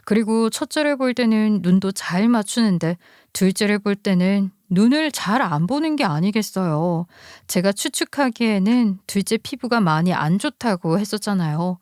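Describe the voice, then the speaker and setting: A woman's voice: mid-range voice, a little mellow, smooth, dry recording, clean studio sound. A woman narrating a scripted first-person life story.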